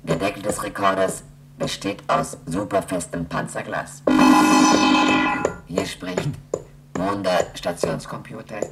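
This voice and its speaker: Formal space station computer for a radio play, really low and gravelly, impersonal, states facts flatly. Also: measured pace, long pauses. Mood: impassive, formal. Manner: formal, impersonal, recited delivery. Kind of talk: radio drama acting. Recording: noisy track with a hum.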